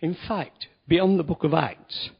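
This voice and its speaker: Husky-voiced man preaching, dull lo-fi sound. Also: on the high side, measured pace. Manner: chatty, wry asides, emphatic stresses. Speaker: lay preacher. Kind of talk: conversational sermon. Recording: dull lo-fi recording.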